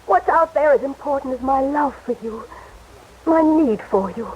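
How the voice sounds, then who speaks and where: An actress playing an astronaut's anxious, pleading wife in an emotional vintage radio drama style. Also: female voice, high-pitched, moderate pace, a little mellow, lo-fi sound, close to an everyday voice. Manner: emotional, imploring. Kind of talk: 1950s-60s radio drama acting. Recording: noisy vintage radio broadcast.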